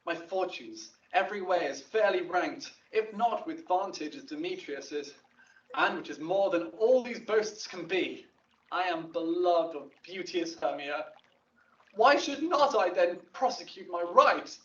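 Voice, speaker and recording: An indignant young nobleman in love, declaiming Shakespearean verse for an audio drama. He speaks slowly and emphatically, with an animated melody. The sound is roomy and dull, as if played back from a distance. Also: high-pitched. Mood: indignant, pleading.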